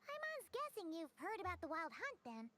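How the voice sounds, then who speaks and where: A woman's voice: extremely high pitch, huge pitch swings, moderate pace, heavily acted. A woman voicing a small, cheerful, boastful childlike fairy guide in a video game. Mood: proud. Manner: refers to self in third person, exclamatory. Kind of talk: video game character dialogue. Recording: noisy game stream audio.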